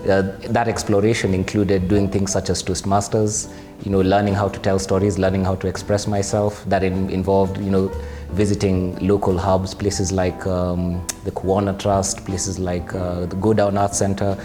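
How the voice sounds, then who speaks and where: A man in his thirties, an animator and storyteller, telling his story articulately and in a measured way. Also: low voice, level tone. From Kenya. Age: 30s. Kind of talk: interview testimonial. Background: background music.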